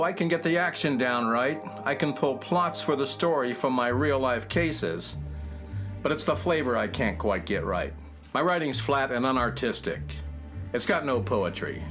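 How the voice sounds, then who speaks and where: A man's voice: mid-range voice, animated, normal speed, a bit bright, faint music behind, natural voice. A man playing a self-doubting private eye and would-be novelist in a children's radio drama, with a melodramatic mock-noir delivery. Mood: frustrated. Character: male hard-boiled private-eye spoof, self-doubting aspiring novelist.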